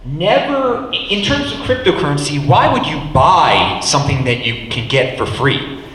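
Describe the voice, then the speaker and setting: A man speaking on a panel at a live meetup, loud and lively, talking in rapid bursts. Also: a little high, a little hiss or hum. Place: meetup venue hall. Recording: echoey hall with long reverb.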